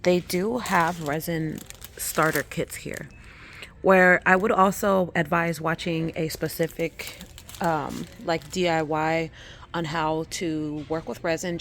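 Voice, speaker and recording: A woman hosting her skincare channel, hoarse and losing her voice, chatting casually with lots of ums and likes as she walks through a craft store. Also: low voice, fairly even intonation, unhurried, a little hiss or hum. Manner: chatty, many fillers like um and like. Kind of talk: casual shopping vlog walkthrough.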